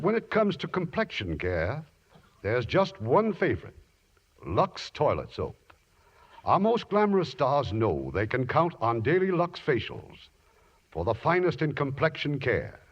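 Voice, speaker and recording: A male radio theater host presents from a script in 1950s style. His mid-pitched voice moves with lively swings, slightly husky, on a dull old broadcast recording. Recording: muffled vintage radio broadcast.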